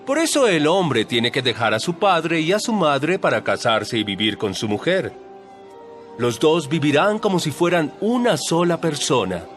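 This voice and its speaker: A male actor playing a calm, authoritative religious teacher in a dramatized audio Bible, speaking in solemn, measured, emphatic phrases. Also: a little high, faint music behind. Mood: firm teaching.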